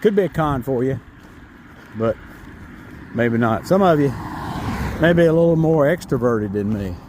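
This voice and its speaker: A man's voice: medium pitch, animated, normal speed, noisy background. A man from North Carolina with a Southern American accent, rambling in a folksy, self-amused way as he vlogs while hiking. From North Carolina, USA. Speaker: hiker vlogger. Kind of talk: casual walking vlog. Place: outdoors on a rural trail.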